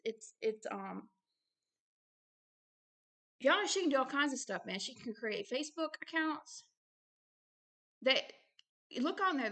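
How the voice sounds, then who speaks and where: A woman's voice: high voice, bright, forceful tone. A woman giving informal religious commentary while reading aloud from a book, with emphatic repetition and colloquial asides. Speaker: online ministry host reading and commenting on a book.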